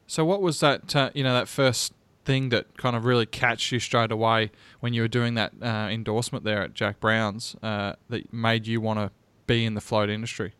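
A man's voice: fairly low pitch, level tone, moderate pace, a bit bright, clean tone, no room sound. A man hosting an interview, asking questions in a friendly, loose way and calling his guest "mate". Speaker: podcast host.

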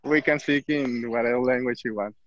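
A footballer from Mexico, speaking English with a Mexican Spanish accent, hesitant and searching for words. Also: male voice, mid-range voice, fairly quick, a bit bright, lo-fi sound, a little hiss or hum. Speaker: football player. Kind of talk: interview.

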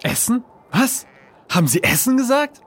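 A man's voice: very high-pitched, big pitch swings, normal speed, breathy edge, exaggerated voice. A man playing a sceptical, food-mad, lazy boy in a children's audio drama, blunt and doubting. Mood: eager, hungry.

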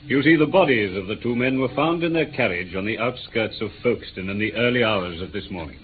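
An actor playing a stolid, courteous police inspector with a British accent, matter-of-fact and deferential, in a vintage radio drama. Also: male voice, fairly low pitch, expressive, a little fast, natural voice. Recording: tinny, noisy vintage radio recording.

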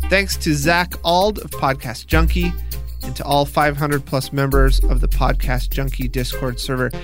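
A man's voice: a little high, fairly bright tone. A man hosting a podcast, moving between conversational interviewing and scripted narration. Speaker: podcast host and producer.

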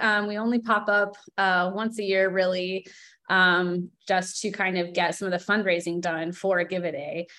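A woman in her thirties talks casually on a student podcast. Her voice sits in a middle pitch. She fills her sentences with "um" and laughs lightly now and then. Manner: conversational, many "um" fillers, laughs lightly. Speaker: podcast guest and board member.

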